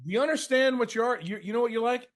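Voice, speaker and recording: A man's voice: very high voice, very fast, fairly bright tone. A man lecturing off the cuff in answer to a question, blunt and confrontational, swearing freely and scolding with rhetorical questions. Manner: uses mocking imitations and rhetorical questions. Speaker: speaker leading a question session.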